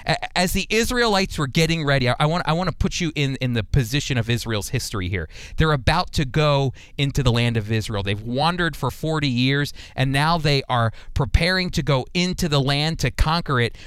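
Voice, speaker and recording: A man's voice: medium pitch, expressive, fairly quick, few pauses, a bit bright, smooth, no room sound. A man hosting a radio Bible teaching program, speaking conversationally and repeating phrases for emphasis.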